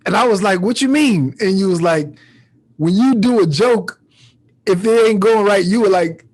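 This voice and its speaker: A man with a fairly high-pitched voice talks as a podcast host and comic. His delivery is casual and slangy, like easy conversation between fellow comedians. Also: normal speed, darkish tone. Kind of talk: casual chat.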